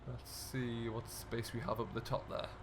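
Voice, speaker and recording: A British man with a mid-pitched voice hosts a gameplay video in a casual, chatty way. He thinks out loud and explains each job as he goes. Engine and vehicle noise runs clearly behind his voice. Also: flat delivery, moderate pace. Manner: chatty, musing aloud, explanatory. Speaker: video game streamer, host. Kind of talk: casual commentary over farming simulator role play. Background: game tractor and loader engine sounds.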